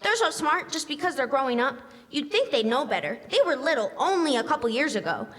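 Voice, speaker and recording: Radio play kid role for an actress: excitable young girl, swooping, piercingly high delivery, live hall reverb. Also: brisk. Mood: scornful. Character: excitable, stubborn young girl obsessed with an invasion game. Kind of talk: live stage radio drama in classic style. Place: on stage in a school hall. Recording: echoey hall, live stage performance.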